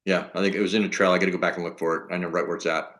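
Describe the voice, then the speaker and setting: Relaxed low-voiced man chatting on a livestream. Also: racing. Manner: relaxed, chatty, reads out viewer comments. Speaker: livestream host. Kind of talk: casual livestream chat. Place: home homestead in Alaska.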